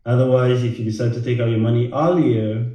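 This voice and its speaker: A man from Rwanda, a financial educator, talking casually to the camera, halting with many fillers and repeated words. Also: medium pitch, fairly even intonation, dark tone. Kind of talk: casual direct-to-camera talk. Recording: very echoey room.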